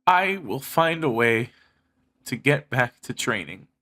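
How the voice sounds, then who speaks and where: Impassioned man with a bright, forceful voice. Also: medium pitch, very animated, slow. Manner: earnest, emphatic, sweary. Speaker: video creator addressing subscribers. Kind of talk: impassioned personal monologue to viewers.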